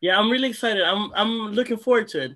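A man's voice: high-pitched, fast. A young man from Congo, a guest singer, talking eagerly over a video call from a room at home, often restarting his sentences. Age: young adult. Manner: eager, excitable, many restarts. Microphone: laptop webcam on a video call.

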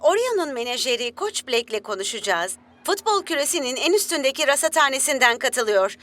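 High, animated TV reporter. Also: female voice, a little fast, no echo. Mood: inquisitive. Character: female TV sports reporter, brisk and inquisitive. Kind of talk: dubbed cartoon news reporting.

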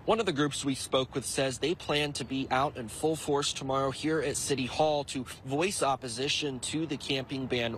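A man's voice: medium pitch, fairly bright tone, slight background noise, poor audio. A young American man delivering a scripted television news report as a field reporter. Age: young adult. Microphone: handheld microphone.

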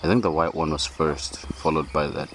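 A man's voice: very deep voice, moderate pace, a bit bright. A man musing casually off the cuff as he films in a farmyard, often asking himself questions. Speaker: amateur vlogger filming a farm. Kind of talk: casual off-the-cuff narration of animals. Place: outdoors in a farmyard. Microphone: handheld camera, behind the lens. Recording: noisy outdoor camera audio, birds and insects.

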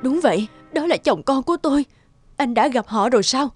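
Earnest middle-aged mother, slightly high. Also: animated, a little fast, no echo. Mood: tearful relief. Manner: earnest, emotional. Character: kindly middle-aged mother and doctor, worried, sincere. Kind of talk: dubbed cartoon drama acting. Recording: loud music behind the voice.

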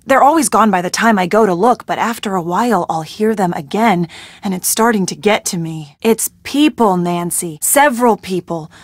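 A woman's voice: medium pitch, animated, moderate pace, no echo. An actress playing a spirited, earnest young woman in a video game, a loyal and anxious friend who is wry and plucky. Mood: uneasy.

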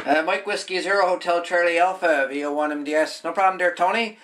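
A man's voice: high-pitched, fairly quick, a bit bright. A man working as an amateur radio operator, talking casually and informally with spelled-out phonetic call signs. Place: indoors at a home radio desk.

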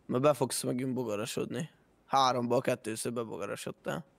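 A man's voice: medium pitch, fairly even intonation, gravelly. A man talking casually as a fellow player in an online roleplay game.

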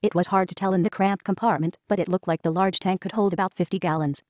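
A woman reads a first-person letter aloud at a quick clip, in an even, brisk read-aloud manner. Her voice sits slightly low and sounds dry, with no room around it. The recording is dull and lo-fi. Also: no echo. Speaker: reader of a whistleblower letter. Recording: dull lo-fi voice track.

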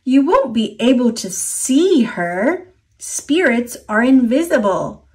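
A woman's voice: on the high side, very animated, slow. A woman with an American accent voicing a matter-of-fact, teasing young brother in a storybook, knowing and plain.

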